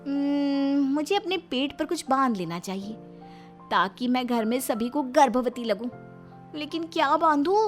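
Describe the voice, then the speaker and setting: A woman's voice: high-pitched, animated, measured pace, faint music behind, voice pushed up. A woman voicing a lazy, sly young daughter-in-law in a family story, whiny and hesitant. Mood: sly, musing.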